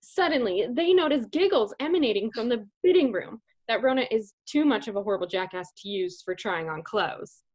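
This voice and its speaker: High-voiced, lively woman narrator. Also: fairly quick, few pauses. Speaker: comedian narrator. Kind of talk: sassy comedic scripted narration.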